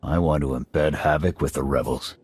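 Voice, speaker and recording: A man playing a blunt, gruff military squad commander in a video game. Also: rumbling low, fairly even intonation, normal speed, darkish tone, dry recording. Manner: gruff, blunt soldier talk.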